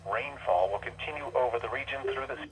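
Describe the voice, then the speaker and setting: A man's voice: medium pitch, fast talker. A man's voice reading an automated weather bulletin as an announcer, played through a radio speaker. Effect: radio broadcast through a small speaker. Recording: noisy radio speaker picked up in room.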